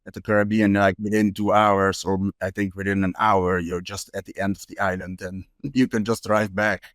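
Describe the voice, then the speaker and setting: A man's voice: deep voice, little pitch movement, moderate pace, fairly bright tone, dry recording, clean studio sound. A young man from the Netherlands, a street photographer talking casually as a guest, with many fillers and restarts. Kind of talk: casual interview chat.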